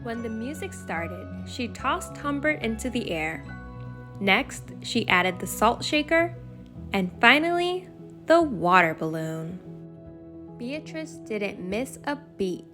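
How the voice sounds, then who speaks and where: A woman reading a children's bedtime story aloud in an expressive, deliberate storytelling manner. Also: fairly high pitch, faint music behind. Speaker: storybook reader.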